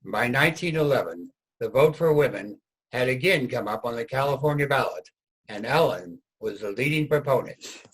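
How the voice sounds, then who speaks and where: A man's voice: medium pitch, expressive, normal speed, low-quality recording. A man reading scripted historical narration for a reader's theater production.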